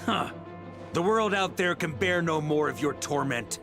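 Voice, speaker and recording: A resolute knight hero, earnest and defiant toward his foe. His voice swings widely in pitch, climbing high when he calls out with passion. A lead figure from a story-driven video game. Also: expressive, measured pace, music in the background.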